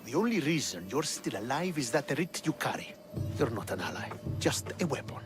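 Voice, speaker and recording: An actor playing a stern, dismissive Spartan army commander in a video game, speaking curtly and skeptically. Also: male voice, mid-range voice, very animated, a little fast, faint music behind, natural voice. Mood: cold, dismissive. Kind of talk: dramatic video game dialogue.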